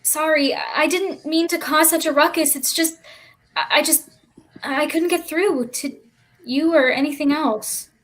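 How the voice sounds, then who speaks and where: Woman playing a needy, high-pitched restless child. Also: measured pace, a bit bright. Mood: sheepish, apologetic. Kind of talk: staged play reading over livestream.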